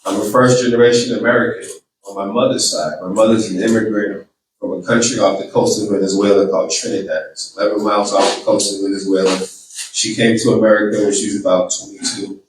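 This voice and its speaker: A man's voice: on the low side, level tone, fast talker, slight background noise. A man with a Boston accent giving a campaign speech and taking questions, earnest and often repeating his words. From Boston, Massachusetts. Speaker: political candidate. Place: large room with an audience. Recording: echoey room, distant mic.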